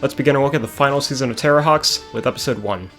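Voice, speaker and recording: A man with a hoarse voice recapping episodes of an old show as a review host, with dry, sarcastic asides. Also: medium pitch, very fast, a bit bright, soft background music. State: hoarse after a concert.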